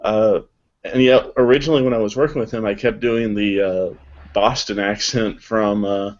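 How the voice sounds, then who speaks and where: A man, a voice actor, answering interview questions casually in a deliberate, wordy way. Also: lowish voice, fairly even intonation. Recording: dull lo-fi online call audio.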